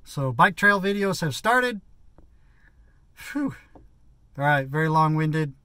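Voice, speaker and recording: A man's voice: a little high, expressive, takes it slowly, sharp tone, no room sound. A tired man in his fifties chatting in a rambling, casual vlog from inside a parked vehicle, still catching his breath after a bike ride. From United States. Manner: rambling, chatty, self-correcting. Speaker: vlogger. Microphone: phone or action camera at arm's length.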